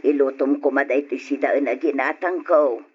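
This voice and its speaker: A man acting a tired, gentle farmer father in an Ilocano radio drama, with an Ilocano accent. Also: medium pitch, steady tone, moderate pace, breathy edge. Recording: dull radio recording, faint music.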